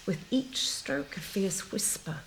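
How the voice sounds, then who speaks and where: A woman playing a dreamy, tender heroine recalling what she senses in a soft, breathy, hushed monologue, savoring each phrase. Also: lowish voice, expressive, takes it slowly, slight background noise, rough recording. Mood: tender reverie. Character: dreamy, tender woman hero recalling sensory memories with wonder. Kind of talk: intimate dramatized monologue. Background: music.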